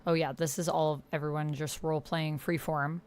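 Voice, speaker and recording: A woman's voice: sits low, flat delivery, a little fast, darkish tone. A woman hosting a casual livestream, talking to herself and reacting aloud as she reads along in a text roleplay game. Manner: talks to self, reacts aloud, murmurs while reading and typing. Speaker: livestream host. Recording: background noise with keyboard typing. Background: computer keyboard typing.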